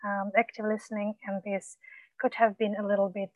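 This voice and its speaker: A woman coach mentor, whose first language is not English, giving supportive, measured feedback with frequent pauses and ums. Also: medium pitch, level tone, no echo.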